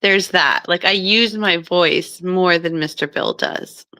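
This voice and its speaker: A woman co-hosting a live stream in a casual chat, loud and talkative and quick to laugh. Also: lowish voice, no room sound. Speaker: co-host. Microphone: streaming microphone.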